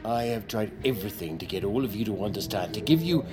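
An actor playing a stern, obsessed expedition leader in an audio drama, commanding and intense. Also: male voice, on the low side, animated, quick pace, music in the background, natural voice. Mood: obsessed, menacing. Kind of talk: audio fiction drama acting.

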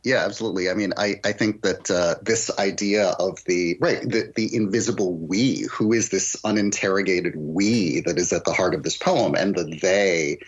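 Deep-voiced, slightly husky man thinking aloud. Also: steady tone, moderate pace, hardly any pauses, muffled recording. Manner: analytical, thinking aloud, poses rhetorical questions. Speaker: guest poet and critic. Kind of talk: literary discussion on a podcast.

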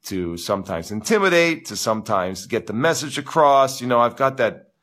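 A man hosting a live viewer Q&A as a tennis coach, with a big booming voice and an animated, ranting delivery full of repeats and "you know". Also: normal speed. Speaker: tennis coach, show host. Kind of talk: live-stream chat with viewers.

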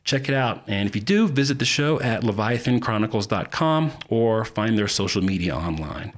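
A man's voice: on the low side, lively intonation, normal speed. A man hosting a podcast, reading announcements in an informal, conversational way. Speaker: podcast host. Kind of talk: casual scripted announcements.

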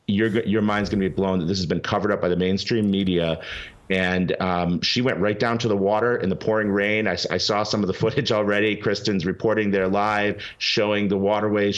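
A man's voice: fairly low pitch, muffled recording. A man as a guest on a talk show, speaking urgently and emphatically, often restarting his sentences. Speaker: guest, legal advocacy group representative. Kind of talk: animated call-in interview.

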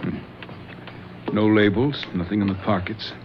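An actor playing a shrewd police lieutenant in a radio drama, calm, probing and authoritative. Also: male voice, lowish voice, a little slow, lightly acted. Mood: methodical. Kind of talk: 1970s radio drama acting. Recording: dull old radio broadcast recording, faint hiss.

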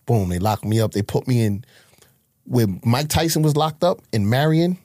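A man's voice: mid-range voice, expressive, normal speed, a little mellow, no echo, studio quality. A man from Harlem with a New York accent, telling his life story in a casual, profane, streetwise way as an interview guest. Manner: streetwise, profane, acts out quoted voices.

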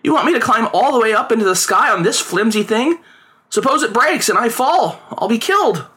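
A man acts out a reluctant young son in a storybook. The boy's voice is high and bright, with an incredulous, protesting manner and lively rises in pitch. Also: fairly quick, full character voice. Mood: alarmed protest. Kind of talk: storybook character voice.

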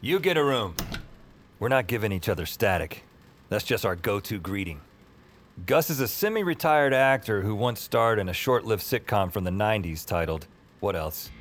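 An actor playing a sleepless, lonely night worker, narrating an audio drama in a wry, self-deprecating, conversational way. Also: male voice, lowish voice, animated, normal speed, a bit bright. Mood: dry amusement. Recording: music behind voice.

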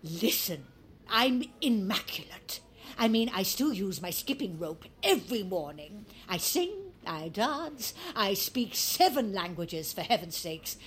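Swooping, defiant old British granny. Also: female voice, mid-range voice, big pitch swings, a little slow, a little hiss or hum. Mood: proud, defiant. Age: older. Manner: blunt, sardonic, grand. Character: mischievous, imperious, defiant old grandmother in a care home. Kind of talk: audio drama acting.

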